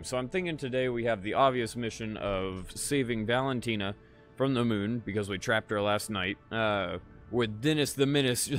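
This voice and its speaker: A laid-back male gamer streaming live, his voice tired and a touch raspy at a medium pitch. He chats loosely with viewers and curses freely, with faint music playing underneath. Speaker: gaming livestreamer. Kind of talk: casual live gameplay chat.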